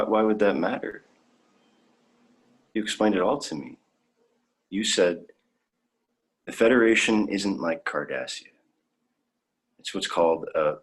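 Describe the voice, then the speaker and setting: Guy playing young alien lad, lowish voice, super earnest and naive, stammers a bit, drama reading. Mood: innocent, puzzled. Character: naive, eager, idealistic young male alien orphan. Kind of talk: dramatic fan fiction reading.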